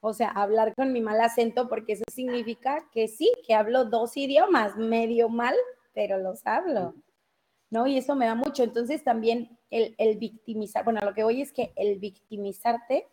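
A woman's voice: fairly high pitch, moderate pace, dark tone. A woman from Mexico with a Mexico City accent, hosting a casual live news chat and talking freely with many fillers. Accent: Mexico City Spanish. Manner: talkative, many fillers and self-interruptions. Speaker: host.

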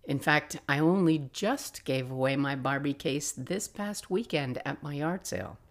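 A woman in her sixties narrating personal memories as a podcast host, reflective and expressive with wry asides. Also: deep voice, a little slow. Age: 60s. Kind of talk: personal memoir storytelling, scripted narration.

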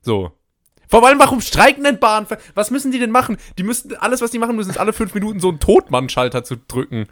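A man's voice: sits high, sing-song, fast, fairly bright tone. A man co-hosting a casual comedy podcast who rants and mimics other people in jokey quotes. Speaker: podcast co-host.

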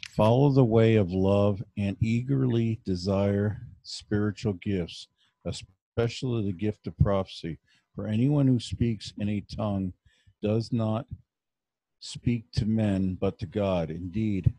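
A man in a study group who reads scripture aloud slowly and carefully. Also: fairly low pitch, steady tone, dark tone, no echo, low-quality recording. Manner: slow, careful reading.

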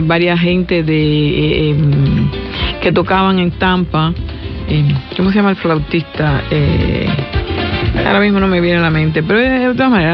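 A woman from Rico, a songwriter guest in a casual radio interview, talking hesitantly with many filler sounds. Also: lowish voice. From Puerto Rico. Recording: dull lo-fi radio audio with faint music.

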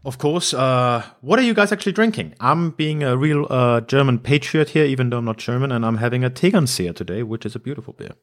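A man's voice: mid-range voice, lively intonation, a little fast, few pauses. A man hosting a casual music interview, asking rambling questions with many restarts. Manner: hesitant, stacking questions with restarts. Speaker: podcast host.

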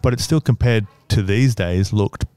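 A man with a low, smooth voice talks as a podcast guest. He has a stutter and fills his speech with likes and ums. The sound is dry and close. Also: normal speed, warm tone, no room sound. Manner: hesitant, filler-heavy, stuttering. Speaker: guest, business owner. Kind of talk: casual podcast conversation.

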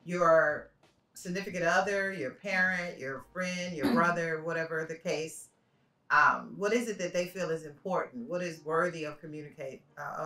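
A woman's voice: deep voice, sharp tone. A woman in her fifties, a mother guest on a family podcast, explaining her views thoughtfully. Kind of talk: casual podcast chat. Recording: roomy, distant mic, faint music.

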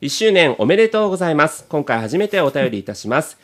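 A man's voice: on the high side, sing-song, quick pace, fairly bright tone. A man hosting a podcast, chatting casually and reading out listener letters.

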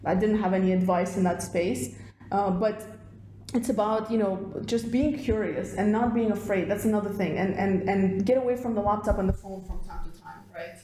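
A young woman from Russia, a podcast guest talking in quick, animated bursts with plenty of fillers. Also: mid-range voice, reverberant, a little hiss or hum, low-quality recording. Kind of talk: casual interview chat.

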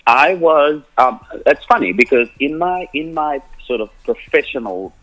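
A man's voice: on the high side, expressive, measured pace, fairly bright tone, low-quality recording. A man, an Afrikaans speaker telling his story as a musician guest in a rambling way, full of restarts. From South Africa, Cape Flats. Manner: rambling, many restarts, laughs often. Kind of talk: casual interview answers.

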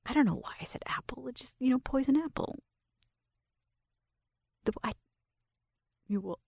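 Breathy, whispery flirty roommate played by a woman. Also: sits high, expressive, moderate pace, dry recording, clean studio sound, full character voice. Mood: gentle, focused. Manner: soft whispering mixed with murmured talk, shy and teasing. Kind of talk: ASMR roleplay, intimate one-sided conversation.

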